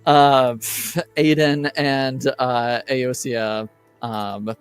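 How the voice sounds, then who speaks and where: Male tabletop game master. Clear, resonant tone, unhurried and hesitant, improvising the story. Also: medium pitch, flat delivery, soft background music. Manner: halting, hesitant delivery.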